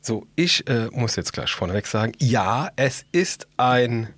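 Husky middle-aged man chatting casually. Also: medium pitch, lively intonation, measured pace, fairly bright tone, no echo. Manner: colloquial, slangy, enthusiastic. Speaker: podcast co-host. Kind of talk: casual podcast chat.

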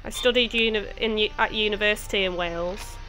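A woman's voice: mid-range voice, fairly even intonation, brisk, bright, forceful tone, soft background music, rough recording. A young woman from near Chester in England, chatting casually with viewers while streaming a game. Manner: chatty, laughs easily, answers chat. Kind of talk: casual chat with live chat viewers.

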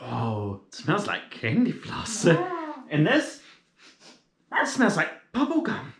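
A man's voice: a little high, wildly swooping pitch, slow pace, creaky, crowd noise, full character voice. A young man playing an eager, naive, easily spooked little boy in a children's fairy tale, with a theatrical, wide-eyed manner. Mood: alarmed, amazed. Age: young adult. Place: living room. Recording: echoey indoor room.

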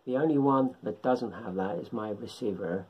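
A middle-aged man with a husky voice gives an informal beginners' tutorial, explaining haltingly in short phrases at home. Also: fairly low pitch, highs cut off. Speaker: hobbyist radio instructor. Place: home living room.

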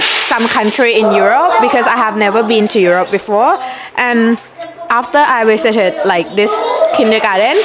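A young woman from Thailand, a volunteer answering an interview earnestly. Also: a little high. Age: young adult. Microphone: handheld reporter mic.

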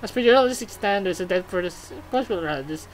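Woman, gaming video host, casual reactions, reading game text aloud, mid-pitched, noisy background. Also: lively intonation, a little fast.

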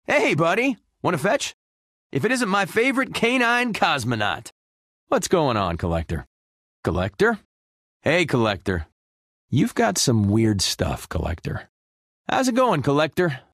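The wisecracking space rogue you would pick in a superhero video game, swaggering through slow, theatrical one-liners in a dry, close studio sound. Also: male voice, mid-range voice, fairly bright tone, no room sound. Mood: jovial, friendly, then sarcastic, disdainful. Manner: brash, sarcastic, theatrical. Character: cocky, wisecracking, boyish male space adventurer hero. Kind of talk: video game character voice lines.

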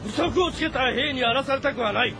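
A man playing a bold, rough mountain rebel leader with a blunt, confident delivery. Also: very high voice, a little fast, music in the background, crowd noise. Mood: threatening. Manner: blunt, assertive. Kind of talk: dramatic audio drama acting.

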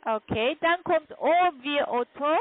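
High-pitched woman, non-native German, tinny phone line. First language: not German. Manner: halting, formal, non-native phrasing. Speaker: call centre agent. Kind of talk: phone sales call.

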